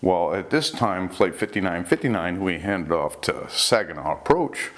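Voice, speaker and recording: A man's voice: deep voice, sing-song, normal speed. A man retelling recorded incidents in an informal, conversational storytelling style, as the host of a talk. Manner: conversational, relays dialogue in reported speech. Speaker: host recounting UFO reports.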